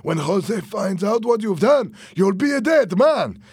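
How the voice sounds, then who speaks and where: A man playing a menacing henchman, voice pitched high. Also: measured pace, vocal fry, no echo, clean studio sound. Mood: threatening. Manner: barking orders, mocking, threatening. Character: cruel, menacing henchman with a rifle, obedient to boss.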